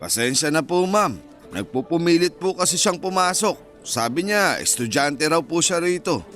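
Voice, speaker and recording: An actor in a Filipino radio drama playing a stubborn university security guard who is polite, firm and by the book. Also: male voice, a little high, lively intonation, normal speed, a bit bright, soft background music. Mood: apologetic.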